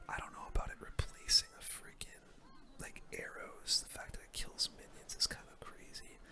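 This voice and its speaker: A young man speaks entirely in a breathy whisper, with no full voice behind it. He gives casual, slangy gaming commentary as an ASMR host, taking his time over the play. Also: moderate pace.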